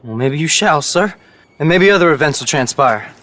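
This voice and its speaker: An actor playing a resentful American frontier youth, young and defiant, in a game cutscene. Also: male voice, a little high, animated, normal speed, a bit bright. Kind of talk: dramatic game cutscene acting.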